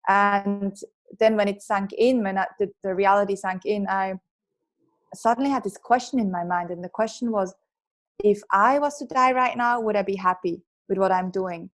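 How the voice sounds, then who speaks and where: A woman in her thirties with a strong Swiss accent, a guest telling her story openly in a podcast interview, quick bursts with restarts. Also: medium pitch. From Switzerland. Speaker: guest, entrepreneur and author.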